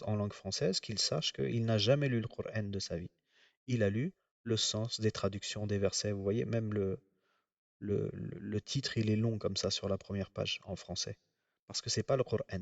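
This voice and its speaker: Low-voiced man teaching a measured religious lesson. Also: no echo, distant mic, muffled recording. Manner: measured, explanatory, pauses often. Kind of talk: religious lecture.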